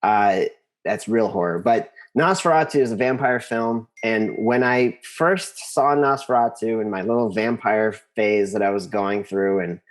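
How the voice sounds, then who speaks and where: A man being interviewed, telling his story conversationally and haltingly, repeating words as he thinks. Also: on the low side. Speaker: interview guest, composer.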